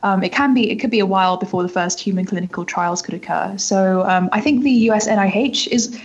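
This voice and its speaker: A woman's voice: medium pitch, fairly quick, husky. A woman journalist explaining things as an interview guest, hedging often and leaning on filler words as she goes. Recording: dull, lo-fi audio.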